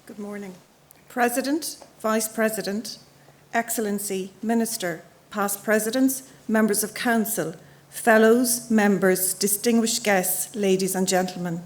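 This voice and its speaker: A woman with a medium-pitched voice reads a prepared honorary citation. She speaks in a measured, ceremonial manner, as a council member addressing a formal gathering. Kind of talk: formal scripted citation speech. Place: auditorium, before an audience.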